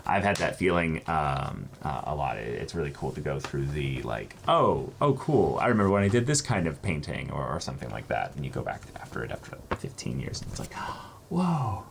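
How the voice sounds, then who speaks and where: A man chatting casually and reading letters aloud as co-host of an unboxing, halting when he ad-libs and expressive when he reads. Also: rumbling low, creaky. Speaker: co-host of a reaction channel. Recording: faint background noise.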